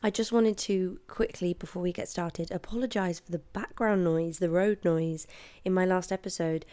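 A woman in her twenties with a hoarse voice from a cold, chatting casually and apologetically as she hosts her podcast from her flat. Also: on the low side, normal speed. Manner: chatty and apologetic, with frequent laughs. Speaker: podcast host. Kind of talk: casual solo chat. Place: flat at home, late at night. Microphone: headphones and podcast mic.

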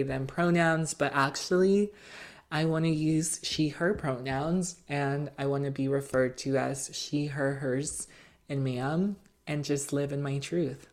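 A young woman as an interview guest, talking in an earnest, measured way with many fillers. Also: sits low. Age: young adult. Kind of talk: casual interview chat.

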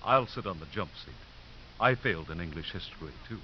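A man's voice: sits low, expressive, brisk. A man playing a deferential aide to a Cardinal, polite and official, in a radio drama. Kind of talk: 1950s radio acting. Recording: very hissy, dull 1950s radio recording.

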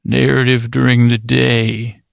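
A man acts out a bluffing, self-important academic in a comic bedtime tale. The voice is very creaky and mid-pitched, with formal phrasing that keeps tripping over itself. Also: little pitch movement, unhurried, no echo. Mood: pompous. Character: self-important fake university researcher, bluffing caller.

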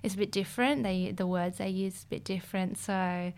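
A young woman chats as a podcast guest, her voice smooth and clear at a middle pitch. She talks loosely, with plenty of fillers. She laughs easily as she goes. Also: fairly even intonation, fairly quick, darkish tone. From Australia. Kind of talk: casual chat.

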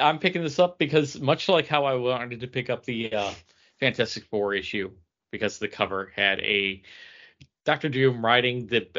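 A man co-hosting a podcast, chatting casually and quick to crack jokes. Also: mid-range voice. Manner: animated, quick to joke. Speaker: podcast co-host. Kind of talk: casual chat. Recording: slightly dull lo-fi audio.

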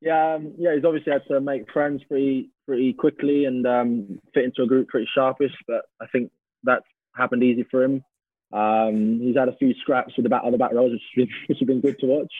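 A man's voice: medium pitch, fairly even intonation, a little fast, no echo. Man teasing over a thin phone line. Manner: amused, teasing. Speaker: guest teammate.

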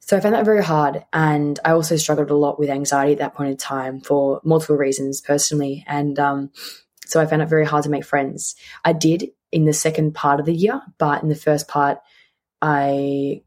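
A woman's voice: low voice, fairly even intonation, moderate pace, a little mellow. A woman in her twenties with an Australian accent, chatting casually and candidly as a solo podcast host, often repeating words for emphasis. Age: 20s.

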